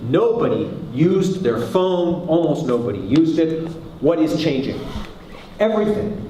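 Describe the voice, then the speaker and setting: Man, from Canada, conference speaker, joking, mellow tone, very echoey hall, distant mic. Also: fairly high pitch, unhurried, a little hiss or hum. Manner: lively, joking, asks the audience questions. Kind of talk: conference talk to a live audience.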